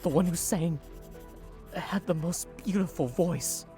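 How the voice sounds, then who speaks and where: Slow, haunted young fugitive acted by a man. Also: fairly high pitch, warm tone, lightly acted. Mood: hesitant, troubled. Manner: halting, hesitant. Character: traumatized shape-shifting fugitive lad, Lamar, recalling captors. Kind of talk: audio drama acting. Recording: music under the voice.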